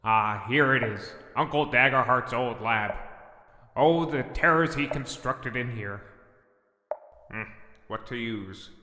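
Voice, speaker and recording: Melodramatic cartoon villain, pompous and petulant, really sharp bright voice, big echoey room w some noise. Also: male voice, medium pitch, slow, pauses often. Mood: scheming, grumbling. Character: theatrical, grandiose, petulant male cartoon supervillain scheming monsters. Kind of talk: comic audio drama acting.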